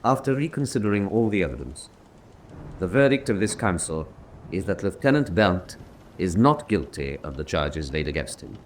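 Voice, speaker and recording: An actor playing a stern German officer presiding over a military council, deliberate and judicial, in a solemn radio drama style. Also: male voice, deep voice, big pitch swings. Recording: noisy drama track with ambience.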